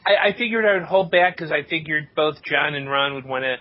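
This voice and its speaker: A man's voice: a little high, fast. A man speaking as a panelist in casual podcast chat. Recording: dull, lo-fi podcast audio.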